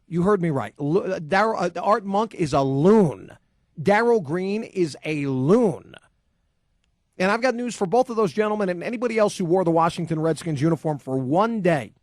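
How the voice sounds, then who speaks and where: A man's voice: high voice, expressive, normal speed, a little mellow, no echo. A man hosting a sports call-in radio show, ranting combatively and sarcastically into a studio microphone. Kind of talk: heated opinion monologue and call-in.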